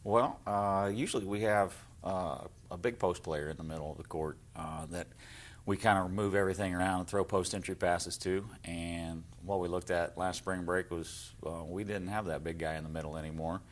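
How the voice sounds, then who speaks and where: A man's voice: low-pitched, steady tone, normal speed. A man speaking as a head basketball coach, answering questions in a conversational interview.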